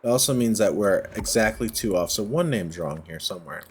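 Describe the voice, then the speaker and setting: A man giving casual gameplay commentary, thinking out loud in short, halting phrases. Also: fairly low pitch, lively intonation, slight background noise. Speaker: let's play host. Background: music.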